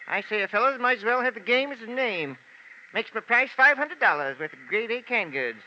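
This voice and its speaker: A man's voice: very high-pitched, lively intonation, a little fast, bright, forceful tone, heavily acted. An actor playing a wily old male boatman who haggles slyly, drawling and hesitant, in a 1950s radio drama. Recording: dull lo-fi old radio recording with hiss.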